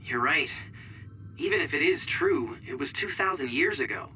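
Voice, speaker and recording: A man's voice: on the high side, lively intonation, moderate pace, bright, forceful tone, soft background music, rough recording. A man playing a pragmatic, level-headed young soldier in a video game. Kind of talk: scripted video game voice acting.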